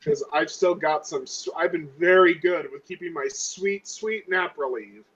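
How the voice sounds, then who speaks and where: A man's voice: on the high side, measured pace, fairly bright tone, breathy edge. A man playing a tabletop role-playing game and chatting casually, in a dull, muffled recording.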